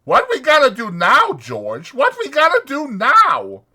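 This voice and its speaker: A man voicing an anxious, grieving old ranch hand in an audiobook, with folksy rural speech and a whining, drawn-out delivery. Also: very high-pitched, huge pitch swings, gravelly, sharp tone, pitched above the performer's own voice, exaggerated voice. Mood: anxious pleading. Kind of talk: dramatized audiobook character voice.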